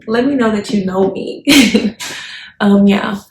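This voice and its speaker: A woman's voice: medium pitch, slow pace. Young American woman chatting in an echoey room. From Texas, United States. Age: 20s. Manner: chatty, conversational, sprinkled with slangy interjections. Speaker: YouTube vlogger. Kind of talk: casual personal storytelling to viewers. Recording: echoey room, faint background noise.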